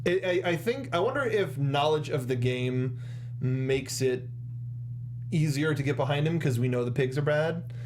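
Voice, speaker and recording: A young man co-hosting a casual film discussion, talking in a halting, rambling way and rephrasing as he goes, close on a studio mic. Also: fairly high pitch, expressive, darkish tone, a little hiss or hum. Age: young adult. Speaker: co-host of a film discussion show. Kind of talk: casual review chat. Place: small indoor studio. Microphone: close studio desk microphone with foam cover, headphones.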